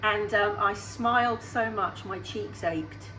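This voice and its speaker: A woman's voice: mid-range voice, slow, bright, forceful tone. A woman in her sixties, a singer being interviewed on radio, who talks in a chatty, upbeat way. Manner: chatty and upbeat, laughs easily. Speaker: singer guest. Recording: roomy sound with faint background hum.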